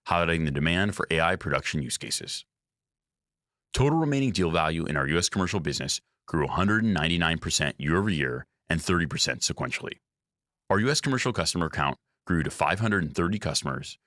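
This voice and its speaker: A man reads a scripted earnings presentation as a company executive, in a formal, measured manner. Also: low voice, a bit bright, no room sound, clean studio sound. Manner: formal, measured reading of figures.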